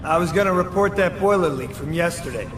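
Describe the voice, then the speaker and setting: An actor playing a slack, sardonic prison guard in a video game, talking in a casual, dry, mocking way. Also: male voice, a little high, brisk, over music, natural voice. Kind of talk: video game background character dialogue.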